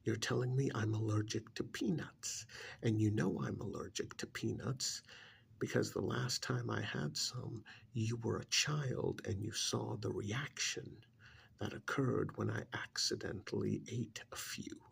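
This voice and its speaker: A man playing a bitter, contemptuous alien creature posing as an uncle in an ASMR roleplay, with stern, emphatic, gravelly delivery that turns breathy at times. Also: fairly low pitch, unhurried, heavily acted. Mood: incredulous.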